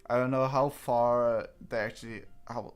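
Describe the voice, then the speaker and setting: A man chatting casually as he streams a game, haltingly thinking aloud. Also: medium pitch, steady tone, clear, resonant tone. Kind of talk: casual chat, game commentary. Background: game music.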